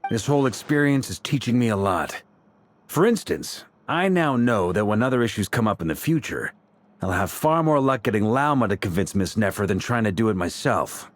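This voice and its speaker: An earnest, good-natured fellow from a fantasy game. His voice is fairly low with animated intonation, recorded close and dry. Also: moderate pace, no echo. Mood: earnest, puzzled. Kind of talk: game character voice acting.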